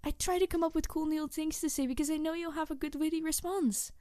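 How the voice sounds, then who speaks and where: A snarky supervillainess , acted by a woman, speaks in a fairly high voice on a very dry recording. She is passionate and easily flustered, sardonic yet secretly tender, talking haltingly to her nemesis in an ASMR audio drama. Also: steady tone, dark tone, no echo, close to an everyday voice. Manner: halting, sighing, emotionally candid, sardonic. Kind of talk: ASMR audio roleplay monologue.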